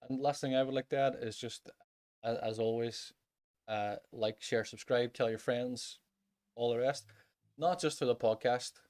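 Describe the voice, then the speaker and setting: A man's voice: mid-range voice, fairly even intonation, a little slow, a little mellow. A Northern Irish man hosting a music podcast in a casual, chatty style. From Northern Ireland.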